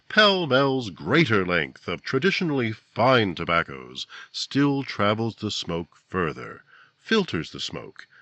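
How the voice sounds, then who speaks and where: An actor playing a polished, earnest 1950s radio announcer, delivering scripted copy in a formal, emphatic way. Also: male voice, lowish voice, bright, forceful tone, no room sound, exaggerated voice. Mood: emphatic selling.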